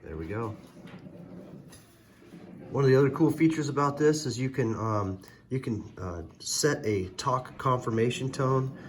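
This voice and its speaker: A man's voice: medium pitch, animated, unhurried. A man giving a casual, hands-on gadget tutorial, explaining step by step in a hesitant way. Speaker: product reviewer, presenter. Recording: very noisy, electronic beeps and clicks.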